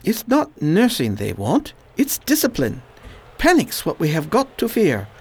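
A woman's voice: on the low side, wildly swooping pitch, unhurried, breathy edge, heavily acted. A woman playing a weary, dutiful educated man in a radio play, terse and resigned. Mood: grave, stern.

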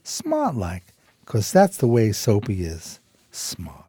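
An actor playing a dim, streetwise, loyal homeless sidekick in an American radio play, with hesitant, slangy street talk. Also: male voice, fairly low pitch, wildly swooping pitch, takes it slowly, dark tone, heavily acted. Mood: reflective. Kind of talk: radio play dialogue and narration. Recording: music behind the voice.

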